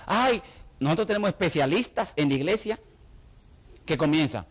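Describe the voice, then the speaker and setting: A man's voice: a little high, expressive, normal speed. A middle-aged man from the Dominican Republic with a Dominican accent, preaching a sermon and acting out the voices of the people he quotes. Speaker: pastor.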